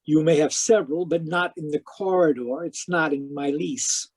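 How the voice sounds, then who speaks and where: A man playing a wisecracking private detective who narrates deadpan in 1940s radio drama style. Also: a little high, normal speed, husky, no echo. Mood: patient, dry. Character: wry wisecracking male private detective narrating his case. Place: live video-call performance, voice close and dry.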